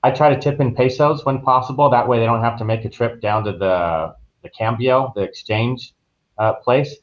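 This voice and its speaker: A man's voice: mid-range voice, normal speed. An American man speaking as a travel agent guest on a podcast, explaining things in an informal, conversational way. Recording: dull, lo-fi online call audio.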